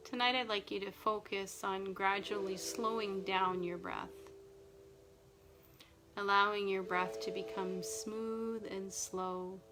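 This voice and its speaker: A woman from Nova Scotia guiding an evening breathing meditation in a slow, soft, soothing way. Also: medium pitch, steady tone, background noise. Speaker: meditation guide. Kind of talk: guided relaxation meditation. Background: music and ocean wave sounds.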